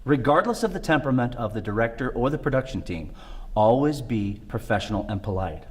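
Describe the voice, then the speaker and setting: A man's voice: mid-range voice, animated, a little fast, breathy edge. A man presenting scripted instructional tips on acting.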